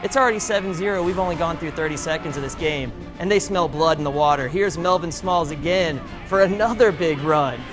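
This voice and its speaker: An American man reporting high school football highlights in a conversational, upbeat style. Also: brisk, hardly any pauses. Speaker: sports reporter. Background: music bed.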